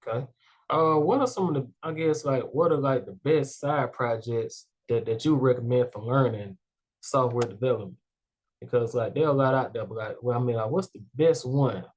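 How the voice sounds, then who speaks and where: Young male podcast host, mid-pitched and loose, rambling with lots of "like" and "you know.". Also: moderate pace, rough recording. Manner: rambling, heavy "like" and "you know" fillers, upbeat. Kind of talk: casual interview chat.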